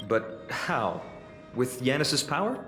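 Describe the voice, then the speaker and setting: A man playing an earnest, inquisitive young travelling companion in a video game, with questioning, deliberate phrasing. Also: medium pitch, very animated. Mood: puzzled. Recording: echoing hall effect, faint music.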